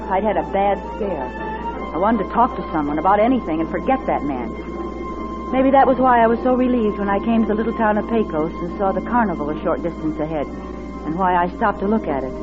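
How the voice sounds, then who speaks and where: A woman's voice: medium pitch, natural voice. An actress playing an anxious, wary woman motorist on the run, narrating in a dramatic 1940s radio suspense style. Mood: uneasy narration. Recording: dull vintage radio recording with music behind.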